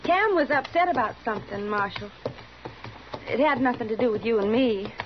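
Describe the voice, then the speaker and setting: An actress playing a chatty, earnest frontier wife with a Southern US accent in a 1950s radio western. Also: female voice, on the high side, animated, normal speed, natural voice. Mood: uneasy. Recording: muffled, noisy 1950s radio recording.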